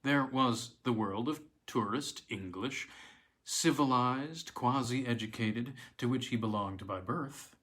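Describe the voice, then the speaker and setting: A man reading a classic weird tale aloud in a measured, expressive storytelling manner. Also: medium pitch. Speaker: reader of classic weird tales.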